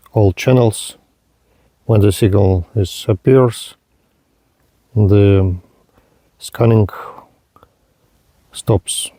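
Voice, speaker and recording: A man narrating a hands-on product demonstration in halting, simple step-by-step phrases. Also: fairly low pitch, gravelly, mellow, no room sound. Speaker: product reviewer.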